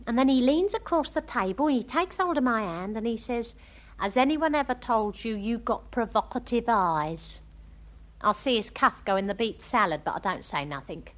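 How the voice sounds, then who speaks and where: A woman's voice: fairly high pitch, animated, moderate pace, lightly acted. An actress playing a chatty, romantic young English woman with a working-class accent, gossiping in a comic monologue. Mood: coy, pleased. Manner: gossipy, dramatic storytelling, quoting others.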